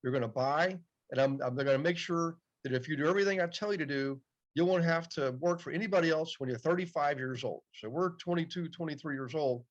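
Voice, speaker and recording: A man's voice: a little high, fairly quick. Chatty man in his fifties, muffled sound. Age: 50s. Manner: chatty, repeats "yep" often. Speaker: podcast guest. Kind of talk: casual storytelling interview. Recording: dull, muffled audio.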